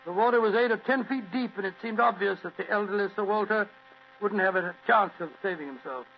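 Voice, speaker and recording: An actor playing a genial, blustering older British doctor in a vintage detective radio play. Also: male voice, high voice, brisk. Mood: grave. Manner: avuncular, chuckling, stammering bluster. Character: genial, blustering older English gentleman doctor, loyal sidekick. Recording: dull, hissy old radio recording with hum.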